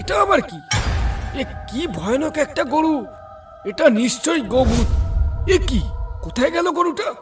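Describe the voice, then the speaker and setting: A man's voice: very high voice, big pitch swings, normal speed, long pauses. A man voicing an anxious, jumpy villager in a horror cartoon. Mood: frightened. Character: frightened villager walking home late. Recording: distant, loud music behind.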